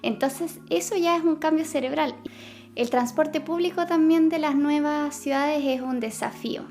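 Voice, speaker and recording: A woman's voice: high voice, normal speed, darkish tone, soft background music. Chatty Chilean woman explaining science. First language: Spanish. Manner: chatty, many fillers and self-corrections. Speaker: science vlogger. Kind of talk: casual explanatory vlog.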